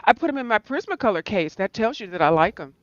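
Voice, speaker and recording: A woman's voice: mid-range voice, big pitch swings, quick pace, no room sound, highs cut off. A woman chatting casually on a call, rambling loosely and thinking aloud as she talks. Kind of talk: casual chat about art supplies.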